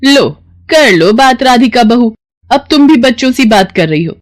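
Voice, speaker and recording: A woman voicing a sharp-tongued older mother-in-law who scolds and taunts. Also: a little high, animated, moderate pace, pitched above the performer's own voice, close to an everyday voice. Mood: scolding. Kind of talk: cartoon voice acting.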